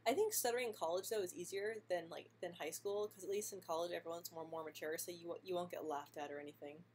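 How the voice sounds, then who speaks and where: A young woman who stutters talks in a casual live-stream chat, her voice sitting a little low. She speaks softly, often repeating the first sounds of words, and laughs lightly between answers. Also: fairly even intonation, quick pace, a little hiss or hum. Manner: stutters with frequent sound and word repetitions. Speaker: vlogger answering viewer questions live.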